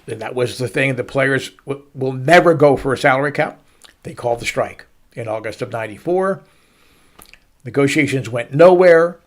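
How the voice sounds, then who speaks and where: A middle-aged man hosting a baseball show, telling a story to listeners with emphatic, dramatic pauses and stretched-out words. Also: medium pitch. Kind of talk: storytelling sports commentary to listeners.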